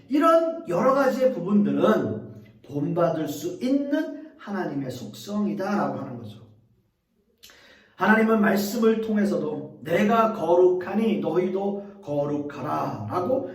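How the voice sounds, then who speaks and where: A man's voice: sits high, echoey room, far from the mic. A man, a pastor, preaching a sermon and earnestly addressing the congregation.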